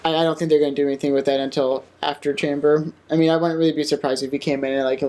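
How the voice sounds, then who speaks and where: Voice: mid-range voice, flat delivery, racing, dark tone. A co-host chatting casually on a panel about wrestling predictions.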